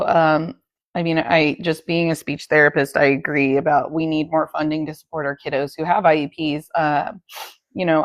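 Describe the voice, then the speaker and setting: A woman's voice: deep voice, no room sound, muffled recording. A woman interviewing a guest in a casual, supportive way, often saying "you know".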